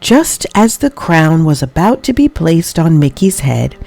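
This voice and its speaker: A woman reading a children's story aloud as an expressive storybook narrator. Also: deep voice, a little slow, vocal fry, soft background music. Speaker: storybook reader.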